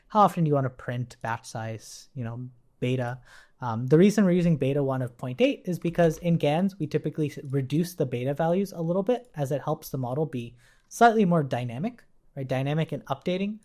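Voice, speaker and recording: A man's voice: fairly high pitch, a little mellow. Man giving an informal coding tutorial. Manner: conversational, explanatory, often checking with "right?". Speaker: coding instructor. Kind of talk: informal technical tutorial walkthrough.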